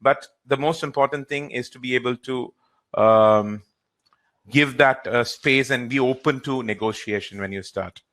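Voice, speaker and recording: A trainer works through audience questions in a live session. His voice sits at a middle pitch, and his delivery is relaxed and halting, with many fillers. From India. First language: Hindi or Gujarati.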